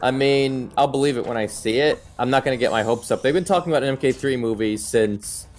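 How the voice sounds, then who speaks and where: A man's voice: medium pitch, quick pace, darkish tone, slight background noise. A middle-aged man hosting a live stream, chatting casually and giving his opinions as he answers viewer comments. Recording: music playing behind the voice. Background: background music.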